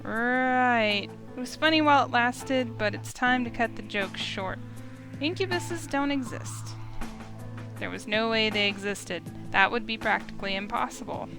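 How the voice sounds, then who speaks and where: High-pitched flustered young heroine, acted by a woman. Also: a little slow. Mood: incredulous. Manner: incredulous, expressive. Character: flustered, sceptical young heroine narrating her story. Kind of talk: first-person scripted narration with dialogue. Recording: music behind the voice.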